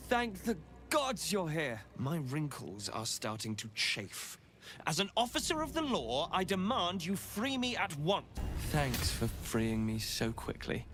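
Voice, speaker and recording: A man's voice: medium pitch, a bit bright, a little hiss or hum. A man playing a pompous, bawdy and put-upon city officer in a video game, with a florid comic delivery. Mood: indignant relief.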